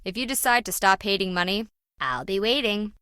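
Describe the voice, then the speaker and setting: A woman's voice: mid-range voice, lively intonation, brisk, sharp tone, clean tone, no echo, studio quality. An actress playing a greedy, sarcastic treasure-hunting businesswoman in a video game, blunt and dismissive. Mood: brash, blunt. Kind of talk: video game character dialogue.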